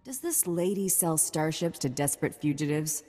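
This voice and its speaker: A woman's voice: low voice, lively intonation, moderate pace, warm tone, long reverb tail, faint music behind. A woman playing a tough, dryly confident bounty hunter in a video game, speaking in a terse, businesslike way. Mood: cool, dry.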